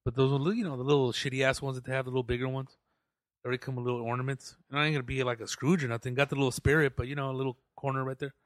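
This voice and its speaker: Fast-talking slangy SoCal man, dry sound. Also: medium pitch, flat delivery, no echo. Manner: slangy, profane, easygoing, agreeable. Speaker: podcast co-host. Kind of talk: casual podcast banter.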